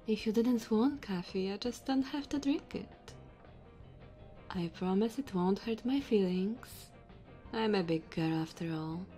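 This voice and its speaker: A woman's voice: mid-range voice, measured pace, warm tone, soft background music, lightly acted. A woman playing a sassy, flirty diner waitress in an ASMR roleplay, teasing and chatty.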